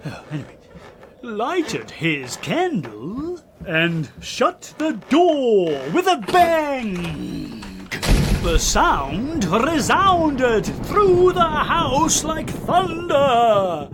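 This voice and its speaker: A man's voice: very high-pitched, huge pitch swings, drawn-out words, noisy background. A British man narrating a comic parody audiobook in a hammy, mock-spooky storytelling style, with muttered asides.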